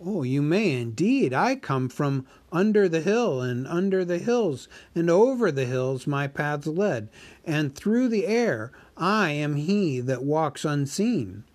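A man voicing a small, clever male hobbit who speaks politely and flatteringly in riddles. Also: a little high, takes it slowly, dry recording, voice pushed up. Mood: riddling.

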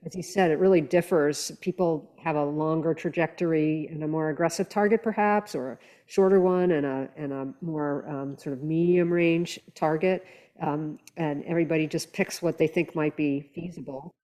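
A woman's voice: low-pitched, steady tone, moderate pace, dark tone. A woman speaking as a podcast panelist in conversational discussion, with thoughtful, hesitant phrasing.

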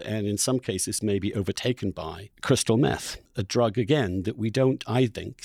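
A man's voice: fairly low pitch, dry recording, studio quality. A man speaking as a podcast host in an articulate, emphatic and discursive way, moving between introduction and conversation. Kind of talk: conversational interview with spoken introduction.

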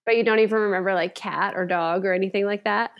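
A woman hosting a podcast interview, chatty and quick to laugh. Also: mid-range voice, steady tone, dull audio. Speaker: podcast host. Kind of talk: casual interview chat.

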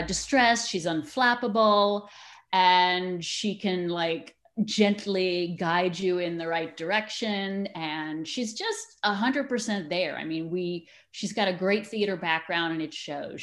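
A woman speaking as an enthusiastic, expressive interview guest, chatting casually about community theater. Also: on the low side, takes it slowly. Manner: enthusiastic, expressive big personality.

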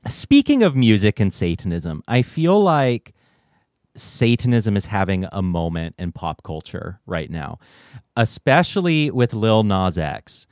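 A man's voice: on the low side, very animated, unhurried, a little mellow, no echo, clean studio sound. A man hosting a podcast interview in a casual, conversational style. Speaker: podcast host.

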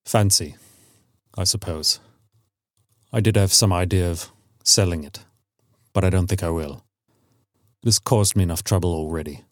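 A man voicing a middle-aged sergeant major in a story, grave and haunted, speaking in a quiet, deliberate, warning way. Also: low-pitched, dry recording, clean studio sound, voice pushed down, lightly acted. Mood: weary.

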